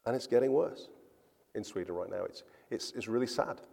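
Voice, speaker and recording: A man's voice: medium pitch, animated, brisk, vocal fry, dark tone, slightly rough. A man preaching a sermon as a pastor, earnest and emphatic, often repeating words for stress. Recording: church hall with long reverb.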